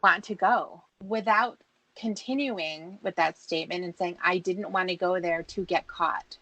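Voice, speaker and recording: A woman's voice: lowish voice, measured pace, pushed and bright, dry recording, poor audio. A woman questioning someone in a pressing, accusatory and insistent manner. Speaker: interrogator. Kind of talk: confrontational questioning.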